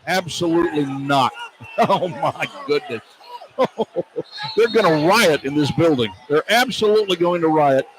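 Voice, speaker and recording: An American man doing excitable, partisan live radio play-by-play of a basketball game as the announcer. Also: on the high side, measured pace. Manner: excitable, partisan toward the home team. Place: courtside in a basketball arena. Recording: noisy live arena radio broadcast. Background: crowd and arena noise.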